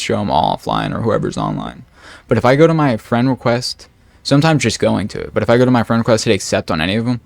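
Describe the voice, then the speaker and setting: A man commenting casually on game patch notes, reading each note slowly and then reacting in a rambling way, with lots of filler words and swearing. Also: fairly low pitch. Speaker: gaming streamer.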